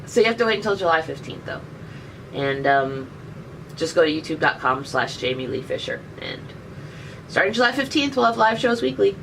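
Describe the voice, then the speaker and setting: A woman's voice: lowish voice, big pitch swings, moderate pace, gravelly, fairly bright tone. A woman in her thirties chatting casually on a live stream and answering viewer questions with jokes. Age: 30s. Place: indoors by a window. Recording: distant webcam mic, faint background noise.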